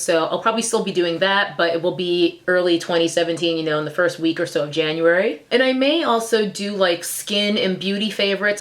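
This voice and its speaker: A woman with a slightly hoarse, recovering voice, talking candidly to her viewers in a casual vlog. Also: fairly low pitch, a bit bright. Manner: candid and conversational, with frequent "like" fillers. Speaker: YouTube vlogger. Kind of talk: casual chatty vlog to camera.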